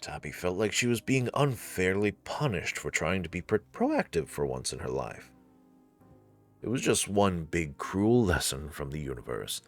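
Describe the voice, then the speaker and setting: A woman's voice: a bass voice, sing-song, normal speed, soft background music. A woman narrating a visual novel in a scripted storytelling style.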